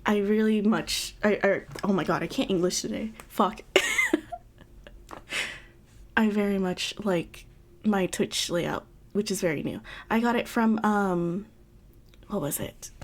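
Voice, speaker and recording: Giggly young woman streamer, slow talk. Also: medium pitch. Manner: giggly, laughs often, rambling with restarts. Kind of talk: casual livestream chat. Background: faint background music.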